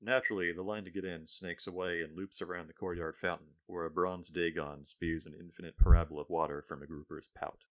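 A man's voice: sits low, steady tone, fast talker, hardly any pauses. A man, the author, reading his own science fiction story aloud in the first person, with a dry, wry storytelling tone.

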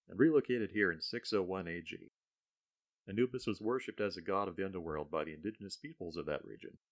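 Guy reading his own sci-fi story, really low voice, dry wry tone. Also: a bit bright. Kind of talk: first-person fiction reading.

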